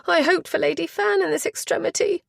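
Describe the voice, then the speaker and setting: Shrill, panicked chaperone. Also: female voice, fairly quick, gravelly, dry recording, clean studio sound, exaggerated voice. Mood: frantic. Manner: high, quivering, near-shouting alarm. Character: frantic middle-aged female chaperone, shrill.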